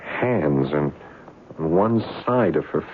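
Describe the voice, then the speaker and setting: A man acting an anxious but rational husband in a 1970s radio drama, with halting, stammering delivery. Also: a bass voice, lively intonation, slight background noise, full character voice. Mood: tense, explaining. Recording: muffled lo-fi 1970s radio broadcast.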